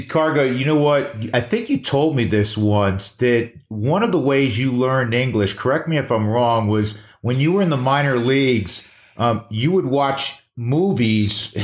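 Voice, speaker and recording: A man hosting an interview, asking long, chatty, wind-up questions. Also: mid-range voice. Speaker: host. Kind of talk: conversational interview. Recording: roomy echo.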